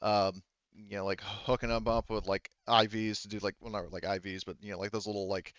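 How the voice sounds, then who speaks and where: A man running a tabletop roleplaying game, casually describing a scene in a halting, rambling way. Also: lowish voice, little pitch movement, a bit bright, dry recording, dull audio. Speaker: game master.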